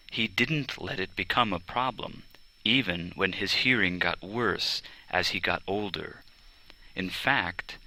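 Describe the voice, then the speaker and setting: A man reading a children's biography aloud as scripted narration, in a measured, clearly enunciated way. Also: sits low, sharp tone, poor audio. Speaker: audiobook reader.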